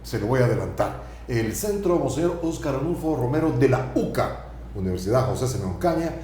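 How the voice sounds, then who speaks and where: A man's voice: mid-range voice, animated, a little fast, dark tone, heavy reverb, slight background noise. A man hosting a TV interview show, chatty and asking frequent tag questions. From El Salvador. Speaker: TV talk show host. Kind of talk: conversational TV interview. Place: TV studio.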